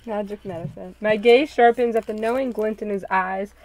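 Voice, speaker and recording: A woman's voice: mid-range voice, normal speed, crowd noise, rough recording. A woman hosting a group read-along, switching between casual joking chat and story narration, often poking fun at herself.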